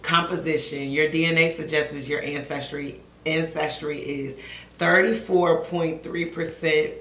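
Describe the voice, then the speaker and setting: Excited young woman vlogging in an echoey room. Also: sits low, a little slow. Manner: excited, gushing, addresses viewers as y'all. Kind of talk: casual vlog talk to camera. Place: living room at home.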